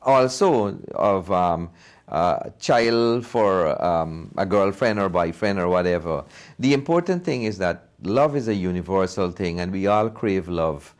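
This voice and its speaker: A man's voice: low-pitched, lively intonation, a little slow. A man being interviewed as a filmmaker, answering earnestly and conversationally, repeating phrases for emphasis. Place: TV studio.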